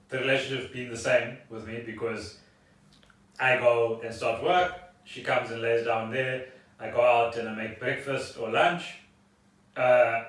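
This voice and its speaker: The room rings heavily around this man, who sits some way from the mic. He has a South African accent and a mid-pitched voice. He chats casually, as a co-host at home. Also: a bit bright. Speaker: podcast co-host. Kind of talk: casual chat. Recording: echoey room, far from the mic.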